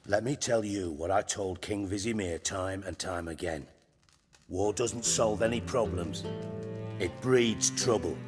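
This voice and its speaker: An actor playing a cunning, middle-aged ex-spymaster turned crime boss in a video game, gruff and blunt, with a wry, salty tongue. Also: male voice, low voice, unhurried. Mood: measured, wry. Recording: reverberant hall, music behind.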